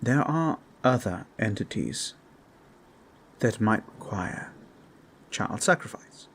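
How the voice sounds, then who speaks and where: Man as a measured, scholarly fantasy adventurer. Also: on the low side, husky, exaggerated voice. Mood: grave, explanatory. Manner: formal, deliberate, explanatory. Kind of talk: roleplay audio drama.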